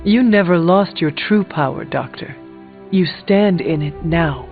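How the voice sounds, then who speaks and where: An actor playing a loyal, battle-worn warrior hunter in a video game, speaking earnestly and formally in few words. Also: male voice, fairly high pitch, measured pace, narrow, tinny audio, soft background music. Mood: earnest, respectful. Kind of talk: dramatic video game dialogue.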